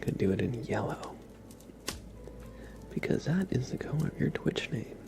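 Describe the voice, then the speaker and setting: A man hosting an ASMR livestream, chatting casually and gently to viewers in a soft breathy whisper, close to the microphone. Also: medium pitch, sing-song, moderate pace, music in the background. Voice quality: breathy whisper with heavy fry.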